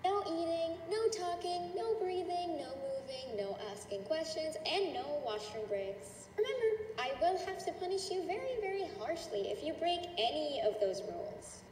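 A woman's voice: very high voice, sing-song, normal speed, hardly any pauses, a little mellow. A woman voicing a cheerful but menacing cartoon schoolteacher, sugary and condescending as she addresses a class. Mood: sweetly strict. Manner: sugary, gushing, condescending with veiled threats. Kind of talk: character voice acting, addressing a class. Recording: roomy, distant, echoing with noise.

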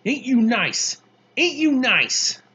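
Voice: medium pitch, slow pace, bright, forceful tone, slightly rough, dull audio, exaggerated voice. A narrator voicing an angry, bossy young girl from a storybook, with snappish, emphatic scolding. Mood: furious, scolding.

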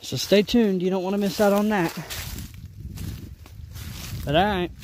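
A man's voice: high-pitched, steady tone, measured pace, darkish tone. A man rambling in a folksy, casual way as he films an outdoor vlog, thinking aloud while walking. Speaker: outdoor vlogger. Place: outdoors in leafy winter woods. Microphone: handheld camera or phone, behind the lens. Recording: handheld outdoor recording with noise.

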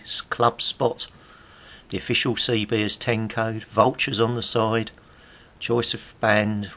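A man's voice: lowish voice, fairly bright tone. A middle-aged British man giving casual, rambling, nostalgic commentary, chatty and musing aloud as he goes. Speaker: hobbyist presenter.

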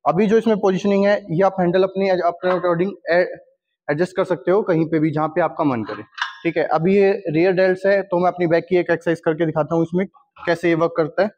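A man's voice: high voice, steady tone, fast, a little mellow. A man with an Indian accent, a gym equipment seller, walking through a product demo in his showroom, explaining each station while switching between Hindi and English terms. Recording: long hall reverb, dull lo-fi sound.

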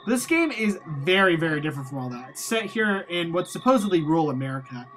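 Bright chatty let's-play voice. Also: mid-range voice, very animated, fast, a little hiss or hum. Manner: rambling, chatty, reads game text aloud. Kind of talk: casual gameplay commentary. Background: faint game music.

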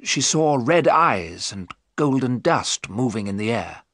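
A man's voice: mid-range voice, animated, measured pace, a bit bright, dry recording, studio quality. Man acting a sombre, grieving widower. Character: grieving widowed doctor, pale and sad.

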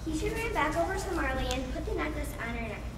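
A woman's voice: high voice, very animated, quick pace. A woman reading scripted story narration as the narrator of an amateur show. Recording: echoey, very noisy room from far off.

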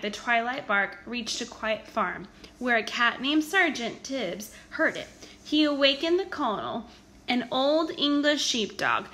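A woman reading a children's story aloud in an expressive, animated storytelling manner with emphatic stresses. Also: on the high side, a little hiss or hum. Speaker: storybook reader.

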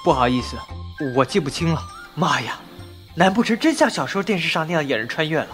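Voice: mid-range voice, big pitch swings, brisk, music in the background, natural voice. An actor playing a brash, slangy, easily flustered young man in an audio drama. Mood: confused, irritated. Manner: crude, slangy, exclamatory.

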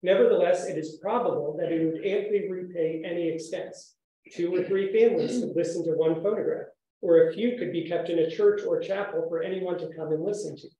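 A man lectures in a very reverberant room. His voice sits fairly high for a male speaker and has a soft, mellow tone. He speaks formally and with measured articulation, as at an academic conference. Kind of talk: academic conference talk with read-out quotations. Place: echoey indoor room, speaker standing while presenting. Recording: very echoey room sound.

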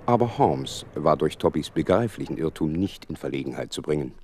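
An actor playing a loyal, earnest detective's companion who narrates a radio play, with a husky voice and expressive delivery. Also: male voice, a bass voice, a little fast, a little hiss or hum. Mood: vivid narrating.

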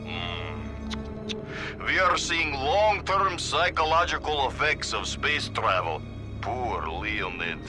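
An actor playing a gullible, vodka-loving Soviet cosmonaut with a Russian accent, speaking theatrical broken English. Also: male voice, medium pitch, very animated, takes it slowly, music in the background. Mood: condescending pity. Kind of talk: comic video game cutscene acting.

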